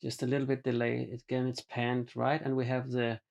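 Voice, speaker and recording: A man walking through a synthesizer patch in a home studio, talking in a relaxed, halting way with frequent ums and uhs. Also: medium pitch, vocal fry, highs cut off. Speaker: synthesizer demonstrator. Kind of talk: hands-on patch explanation. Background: synthesizer music.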